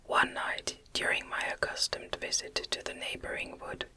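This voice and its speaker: A woman reading a gothic novel as ASMR, in a gentle, breathy, unvoiced whisper with a measured delivery. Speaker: audiobook narrator.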